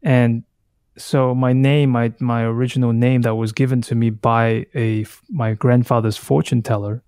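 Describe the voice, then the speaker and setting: A man in his thirties from Taiwan, whose first language is Taiwanese, speaks as a guest in a reflective conversation. His mid-pitched voice is recorded dry, with very little room sound. He thinks as he goes, halting and reworking his phrases. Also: flat delivery, darkish tone, no room sound. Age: 30s. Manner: halting, thoughtful, circles back to rephrase. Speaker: podcast guest, artist.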